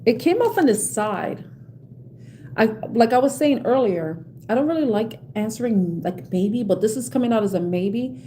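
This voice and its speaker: A middle-aged woman with a New York accent gives a live tarot reading, talking to viewers in a chatty, reassuring way with many fillers and restarts. Her voice is mellow and soft-edged. Faint noise sits behind her voice. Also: on the high side, lively intonation, normal speed. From South Bronx, New York. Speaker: tarot reader.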